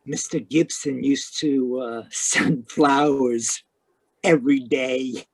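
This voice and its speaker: Hesitant radio-mystery witness, played by a woman: unhurried, lowish-key delivery, dry close sound. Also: fairly even intonation, a little mellow, slightly rough, no room sound, full character voice, rough recording. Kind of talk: old-time radio detective acting.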